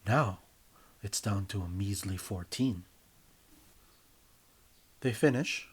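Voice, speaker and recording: A man narrating a scripted story in a theatrical, emphatic way, with deliberate phrasing and a husky voice. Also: fairly low pitch. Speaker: writer and reader of own story. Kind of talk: dramatic scripted story narration in second person.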